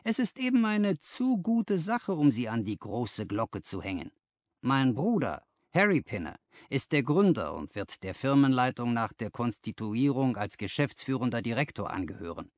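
Man acting a brisk, animated, flattering financial agent. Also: mid-range voice, no room sound, studio quality, close to an everyday voice. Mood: confiding, persuasive. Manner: emphatic, exclaiming, self-assured. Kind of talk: dramatized audiobook dialogue.

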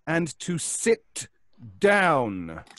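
A cold over-polite threatening lawyer, dry close sound, lots of pitch movement, horror audio play. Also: male voice, wildly swooping pitch, very slow, fairly bright tone, dry recording, exaggerated voice. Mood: menacing. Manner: formal, stiff, insistent, over-polite. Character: menacing, coldly polite male lawyer enforcing a will. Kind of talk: audio horror drama acting. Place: indoors, on a video call.

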